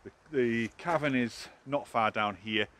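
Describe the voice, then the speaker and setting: A man's voice: medium pitch, measured pace, fairly bright tone. A middle-aged man giving chatty, informal commentary for a walking vlog. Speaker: vlogger, hiking guide. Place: outdoors on trails and in a cave. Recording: outdoor ambience and noise.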